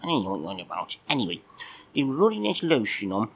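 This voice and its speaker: A man's voice: on the low side, wildly swooping pitch, fast talker, creaky, a bit bright, exaggerated voice. A man voicing a cheeky, crude, dim-witted male cat who rambles with mock innocence.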